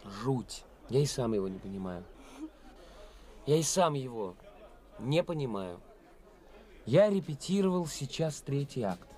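An actor playing an ambitious, theatrical young stage actor in a 1980s radio play. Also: male voice, medium pitch, measured pace, pauses often, close to an everyday voice. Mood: wry, confiding. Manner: theatrical, expressive.

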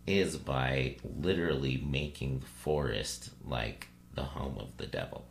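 A man's voice: a little slow, vocal fry. A man co-hosting a casual podcast discussion, musing aloud and often checking in with little tag questions. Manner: musing, tentative, often checking with "right?" and "you know". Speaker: podcast co-host. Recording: roomy echo, low hum and hiss.

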